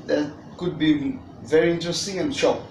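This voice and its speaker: An adult storyteller talking casually to a child, wordy and hesitant, with frequent long pauses. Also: mid-range voice, darkish tone. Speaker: storyteller guest reading to a child. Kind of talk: casual unscripted introduction to storytelling. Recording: echoey room, distant mic, noisy.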